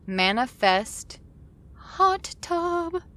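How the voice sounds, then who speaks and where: A woman's voice: extremely high pitch, sing-song, very slow, sharp tone, exaggerated voice. A woman playing a grateful, awestruck young newcomer to a futuristic city in an audio drama. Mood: delighted.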